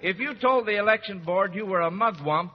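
A man's voice: high-pitched, brisk, crowd noise. A man hosting an old-time radio quiz show in a folksy, hesitant, avuncular manner. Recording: muffled old radio recording, reverberant, faint noise.

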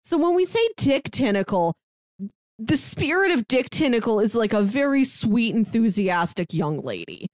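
Casual female co-host for recaps, expressive and animated storyteller, very little room sound. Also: on the high side, moderate pace, dry recording, studio quality. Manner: animated storytelling that acts out quotes. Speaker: podcast co-host. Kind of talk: casual chat recapping a manga plot.

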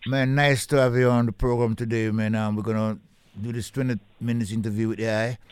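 A man's voice: medium pitch, flat delivery, brisk, clean tone, no echo. A man hosting a radio interview, chatty and casual, often repeating himself. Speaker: radio host.

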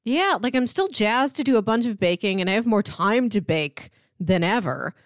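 Woman just chatting on a movie podcast, normal pitch, really dry sound no echo. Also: animated, normal speed. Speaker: podcast co-host. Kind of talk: casual chat about films.

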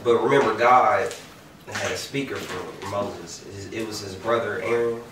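A man with a mid-range voice talks bluntly on a podcast, cursing freely and bursting out loudly. He is picked up from across an echoey room. A clear steady hiss runs behind him. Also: fairly low pitch, a little slow, distant mic. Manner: blunt, profane, loud outbursts. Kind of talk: casual heated chat.

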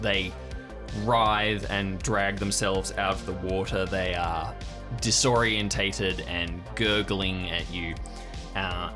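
A man acting as game master, narrating an improvised tabletop adventure with vivid description. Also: low-pitched. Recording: music behind the voice.

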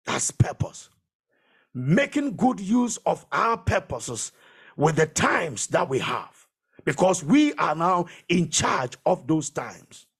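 A man's voice: a little high, very animated, slow pace, vocal fry, fairly bright tone, slightly rough. A man preaching a sermon as a preacher, emphatic and exhortatory, repeating phrases to drive them home.

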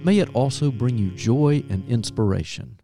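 A man's voice: medium pitch, lively intonation, creaky. A man giving a scripted, solemn introduction to a church devotion podcast. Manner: slow, solemn. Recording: guitar music behind.

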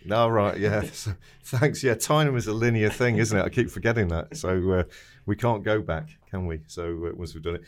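Brief: low-voiced male radio host, rambling and wry. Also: moderate pace, hardly any pauses. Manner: rambling, self-mocking, with chuckles. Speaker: radio presenter. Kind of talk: casual radio chat.

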